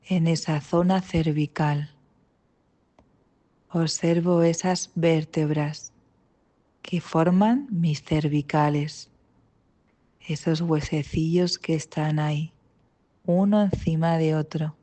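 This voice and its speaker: A woman with an accent from Spain leading a guided meditation, calm and gentle, with long pauses between short instructions. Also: low-pitched. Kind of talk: guided mindfulness body scan meditation.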